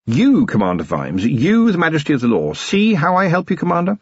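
A man voicing a dark spirit in an audiobook, taunting and incantatory with a theatrical delivery. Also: on the high side, sing-song, brisk, slightly rough, no room sound, muffled recording, voice pushed up. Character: mocking dark spirit whispering inside a policeman's head.

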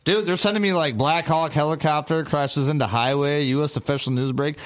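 A man's voice: on the high side, a little fast. A man hosting a casual livestream talk show, swearing often and bantering with his co-hosts. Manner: profane, animated, bantering. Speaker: podcast host.

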